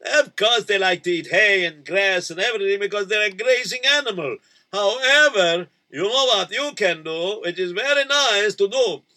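A man's voice: high voice, animated, normal speed, pushed and bright. A man voicing a kindly uncle who gives folksy, reassuring advice. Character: kindly advising uncle, middle-aged.

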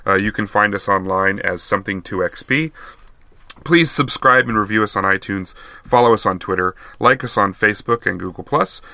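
Gravelly, bright-toned man hosting a podcast. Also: fairly low pitch, no echo. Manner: brief friendly affirmations, then rapid sign-off plugs. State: getting hoarse. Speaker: podcast host. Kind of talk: casual interview chat.

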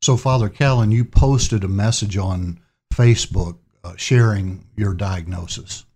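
Low-voiced interviewer asking brief questions. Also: male voice, unhurried, a little mellow, husky.

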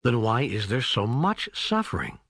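A man's voice: mid-range voice, sing-song, normal speed, a bit bright, slightly rough, no room sound, clean studio sound. A man narrating scripted audiobook dialogue in a questioning, conversational manner.

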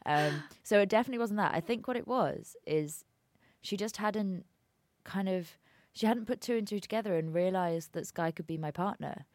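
A young woman co-hosting a podcast, chatty and melodramatic, telling stories quickly. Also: medium pitch, a little mellow, dry recording. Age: young adult. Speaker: podcast co-host. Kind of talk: casual chat.

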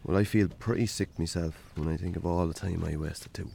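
An actor playing a weary, wry Irish farmer in a naturalistic radio drama. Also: male voice, deep voice, fairly even intonation, brisk, gravelly, warm tone, slight background noise. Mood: reflective, subdued.

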